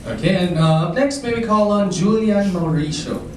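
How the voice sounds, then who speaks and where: A man moderating a press conference, briskly calling on reporters. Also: high voice, a little mellow, slight background noise. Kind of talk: announcing speakers. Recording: very echoey distant room.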